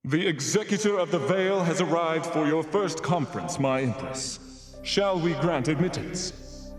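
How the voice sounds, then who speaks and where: A man's voice: a little high, animated, unhurried. A man voicing a deferential, wry alien coven advisor in a game, with formal, theatrical phrasing. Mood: formal, servile. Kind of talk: scripted dramatic game cutscene dialogue. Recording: dialogue over loud music.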